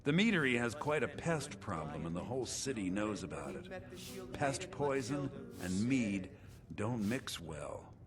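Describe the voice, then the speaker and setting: An actor playing a gruff, scheming criminal fixer in a fantasy video game, terse and conspiratorial. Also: male voice, lowish voice, sing-song, measured pace, a crowd in the background. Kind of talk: video game character dialogue. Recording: game music behind the voice.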